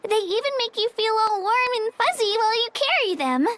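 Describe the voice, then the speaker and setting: Sweet little girl game character, really high voice, earnest, sings up and down. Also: expressive, moderate pace, fairly bright tone. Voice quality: childlike, girlish. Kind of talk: video game voice acting. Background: music.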